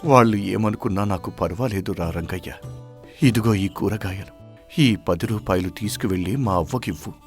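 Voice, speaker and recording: Man playing an anguished, low, gravelly village farmhand. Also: moderate pace, lightly acted. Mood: resigned, pleading. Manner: anguished, heavy-hearted. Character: sick, guilt-ridden village farmhand grandson hiding his suffering. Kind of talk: dramatized moral story acting. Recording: music behind the voice.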